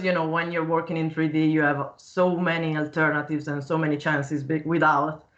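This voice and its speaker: A woman's voice: low-pitched, a bit bright. An Italian-accented woman chats on a video call as a 3D designer guest. She talks loosely, with many fillers and frequent laughs. The call audio is muffled and lo-fi. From Italy. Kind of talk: casual live-streamed conversation.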